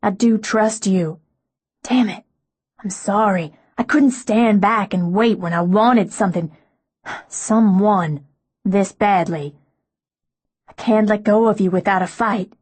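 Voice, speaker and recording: A woman reading an audiobook, voicing a composed adult man who speaks in a soft, measured, pleasant tone. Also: medium pitch, close to an everyday voice. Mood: earnest, careful. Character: composed wealthy adult man, calm and controlled. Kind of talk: audiobook character voice.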